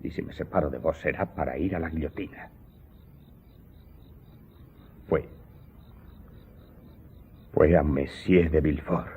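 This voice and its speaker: A man's voice: a bass voice, normal speed, a little hiss or hum. An actor playing an aggrieved servant earnestly telling his story to his master in a radio drama. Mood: anxious, deferential. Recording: muffled narrow-band audio drama recording. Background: loud music.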